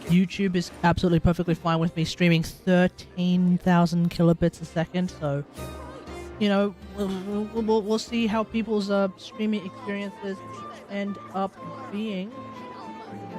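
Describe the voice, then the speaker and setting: Male game streamer, Australian, fairly high voice, casual live chat, faint music underneath. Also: fairly even intonation, measured pace, a little mellow.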